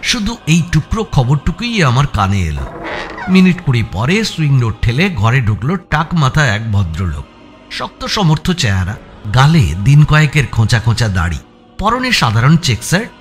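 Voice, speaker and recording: A man narrating a suspense audio story in the first person, with expressive, dramatic delivery. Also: mid-range voice, normal speed. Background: background music.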